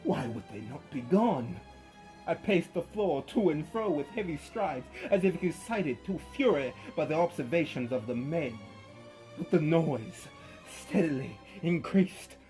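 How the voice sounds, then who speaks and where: A man giving a theatrical reading of a story, swinging from hushed to loud. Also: on the high side, expressive, a little slow, rough recording. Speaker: narrator. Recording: faint music behind.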